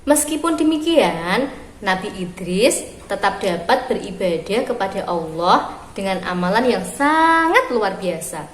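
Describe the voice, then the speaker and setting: A woman reading a story aloud for young children, phrasing expressively and deliberately with pauses. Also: mid-range voice, big pitch swings. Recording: roomy echo with clear background noise.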